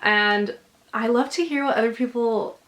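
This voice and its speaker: A woman's voice: on the high side, moderate pace. Young woman host, chatty and soothing, rambles with lots of ums. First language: English. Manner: chatty, filler-heavy, gently reassuring. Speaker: channel host. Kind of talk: casual chat, reading subscriber stories.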